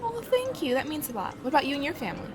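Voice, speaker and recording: A woman's voice: high voice, big pitch swings, very fast, music in the background, pitched above the performer's own voice. A woman playing a polite, earnest teenage girl in an amateur audio drama, sweet and devout. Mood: grateful.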